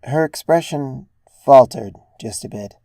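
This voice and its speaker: A narrator reading a first-person story in a measured, reflective way. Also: mid-range voice, creaky, warm tone, no room sound. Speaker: narrator of fan fiction.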